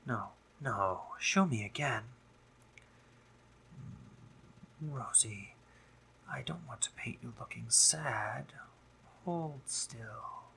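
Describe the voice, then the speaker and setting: Man voicing a slow, crisp, teasing spider-monster gentleman. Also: on the low side, a little hiss or hum, exaggerated voice. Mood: tender, teasing. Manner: genteel, teasing, hesitant pauses, drops into whispers. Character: teasing, doting gentlemanly male spider-monster painter with eight hands. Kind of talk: scripted romantic roleplay audio drama.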